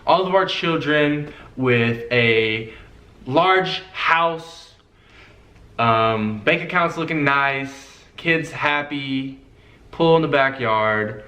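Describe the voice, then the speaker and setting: Easygoing young guy co-host, mid-pitched, roomy echo. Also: extremely slow, sharp tone. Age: young adult. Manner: laid-back, easygoing, fillers like "you know". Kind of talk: casual couple Q&A chat. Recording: echoey room.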